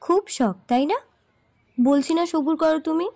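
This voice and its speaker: A woman plays a coy young sweetheart in a romantic audio drama. She teases and scolds playfully in a mellow, slightly high voice. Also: a little slow. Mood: coy, teasing.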